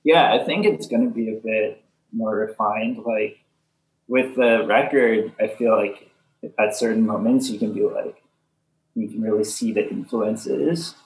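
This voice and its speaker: A young man from Canada, a band member answering interview questions in soft, halting casual talk full of fillers. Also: fairly low pitch. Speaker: band leader, interview guest. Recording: echoey room.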